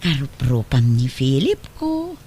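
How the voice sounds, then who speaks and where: A woman performs a confused old homeless woman in a radio drama. She speaks very slowly and gently, her pitch swooping as her wistful words wander. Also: wildly swooping pitch, slight background noise, full character voice. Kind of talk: Ilocano radio drama acting.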